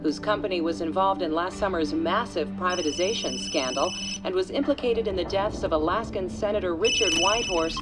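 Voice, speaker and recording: A woman playing a formal, neutral television news reader in a video game cutscene, with measured scripted delivery. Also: lowish voice, loud music behind the voice, lightly acted.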